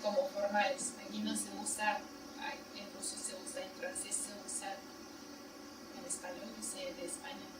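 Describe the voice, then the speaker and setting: A woman's voice: medium pitch, little pitch movement, moderate pace. A woman giving a university seminar lecture in Spanish as a second language, explaining in plain steps and checking her listeners with short questions. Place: seminar room. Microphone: distant room mic. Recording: echoey room, far mic, loud noise.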